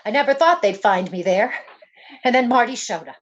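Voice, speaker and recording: A woman's voice: fairly high pitch, expressive, brisk, lo-fi sound. A woman playing a frightened young gangster's moll on the run, slangy and pleading, in an old-time radio detective play. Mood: scared, confessing.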